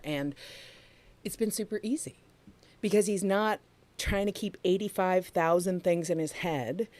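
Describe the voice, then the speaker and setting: A middle-aged woman co-hosting a podcast livestream, chatting warmly and emphatically with the audience. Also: on the low side. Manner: chatty, emphatic, talks to the chat room. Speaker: podcast co-host. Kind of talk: casual livestream chat.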